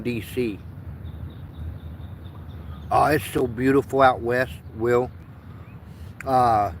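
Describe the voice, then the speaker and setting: Man, 50s, born in Vietnam and raised in the US, livestream host, very slow delivery, rambling and earnest, faint outdoor noise. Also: mid-range voice. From born in Vietnam, raised in the United States. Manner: slow, earnest, stops to repeat himself. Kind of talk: rambling casual livestream monologue.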